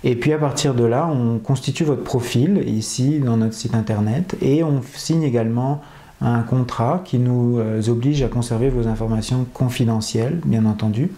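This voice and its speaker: A man presenting a dating agency and explaining its process to viewers, pausing often with fillers. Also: mid-range voice, dark tone, echoey room. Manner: hesitant, many fillers.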